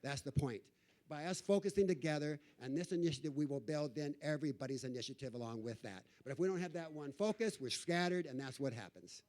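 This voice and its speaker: A man giving an impromptu motivational address at a conference, urgent and repetitive, often halting and restarting. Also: on the high side, darkish tone. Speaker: conference speaker rallying attendees.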